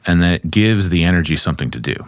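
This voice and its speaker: A man, a somatic therapist, calmly explaining and demonstrating grounding exercises at his desk. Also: low voice, fast, dry recording, clean studio sound. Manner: calm, instructive, speaks while demonstrating.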